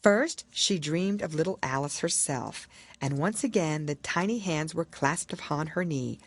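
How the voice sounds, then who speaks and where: A woman narrating a children's classic as an audiobook, in a measured, expressive storytelling manner. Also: deep voice, no echo. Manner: expressive, measured literary reading.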